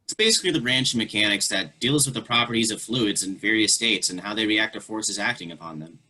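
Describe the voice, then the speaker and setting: A man's voice: fairly low pitch, fast talker, bright, forceful tone, low-quality recording. A man voicing an anxious teenage transgender girl who is the new student, earnest and defensive, in a virtual play reading. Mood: matter-of-fact. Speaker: actor in a staged play reading. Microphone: video call.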